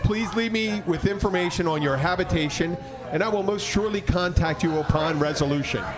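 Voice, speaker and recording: A man playing a gallant, pompous gentleman detective in an amateur comic radio play, with flowery archaic speech. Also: high-pitched, a little fast, natural voice. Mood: pompous, courtly. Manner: pompous, archaic, put-on formal accent.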